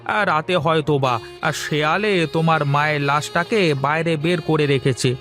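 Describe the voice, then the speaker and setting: A man as a higher-voiced reassuring village neighbour. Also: normal speed, a bit bright, soft background music, lightly acted. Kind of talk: cartoon dialogue.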